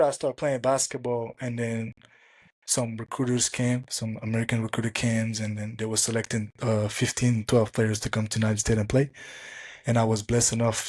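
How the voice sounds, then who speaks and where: A young man from Africa, French his first tongue, talks through his story as a podcast co-host. He is casual and animated and jumps into a raised voice when he plays out a conversation. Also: medium pitch. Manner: animated, acts out quoted dialogue in a raised voice.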